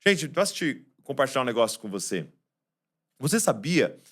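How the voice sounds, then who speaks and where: A man preaching a Christmas sermon, shifting between soft, low passages and loud, raised ones, full of rhetorical questions. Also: very animated, moderate pace, breathy edge.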